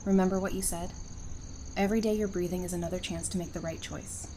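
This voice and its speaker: A woman's voice: lowish voice, steady tone, fast talker, dark tone, a little hiss or hum, close to an everyday voice. A woman playing a brave, compassionate female fighter in an audio drama. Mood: pleading.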